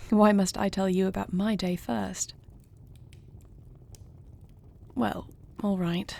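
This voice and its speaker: A woman playing a tender, regal dragon queen in a romantic audio roleplay, with soft, formal and affectionate delivery. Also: medium pitch. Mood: affectionate, tired. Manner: soft, formal phrasing, affectionate, often breathy. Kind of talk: romantic audio roleplay, intimate scripted acting.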